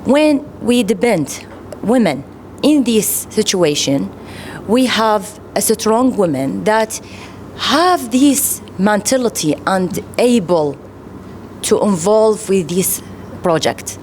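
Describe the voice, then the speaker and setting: A woman from Iraq with an Arabic accent, speaking English as a second language at a round-table discussion, pausing often and restarting her sentences. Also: medium pitch, animated, darkish tone, a little hiss or hum. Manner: halting, many fillers and restarts. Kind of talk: panel discussion remarks.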